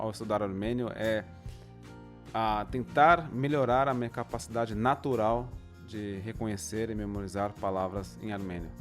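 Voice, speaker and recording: A Brazilian man answers interview questions slowly and thoughtfully, pausing often to choose his words. His voice is on the low side, and faint guitar music plays in the background. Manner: thoughtful, deliberately slow, with pauses. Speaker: guest, polyglot. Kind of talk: casual interview.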